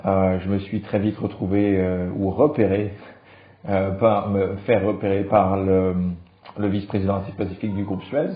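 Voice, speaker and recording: Deep-voiced Frenchman in an echoey room. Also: flat delivery, moderate pace, narrow, tinny audio, a little hiss or hum. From France. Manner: hesitant, many fillers and restarts, light chuckles. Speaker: guest. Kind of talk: relaxed conversational interview.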